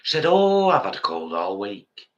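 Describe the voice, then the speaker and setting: A man's voice: lowish voice, normal speed, muffled recording. A man in his fifties with a cold, chatting casually as co-host of a live stream and telling rambling stories. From England. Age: 50s. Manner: rambling storyteller, animated. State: has a cold, a bit bunged up.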